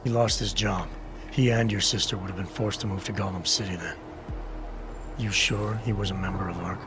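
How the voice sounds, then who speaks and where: An actor playing a stern, composed agent in a video game, questioning a suspect in a controlled, probing way. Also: male voice, low voice, steady tone, a little fast, music in the background. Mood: probing, skeptical. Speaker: investigator. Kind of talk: video game dialogue acting.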